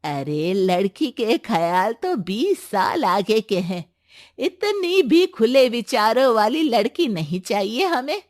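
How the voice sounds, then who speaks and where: A woman's voice: fairly high pitch, sing-song, slow pace, darkish tone, dry recording, studio quality. A woman voicing a demanding middle-aged mother-in-law in a cartoon story, commanding and complaining. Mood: disapproving. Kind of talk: animated Hindi story voice acting.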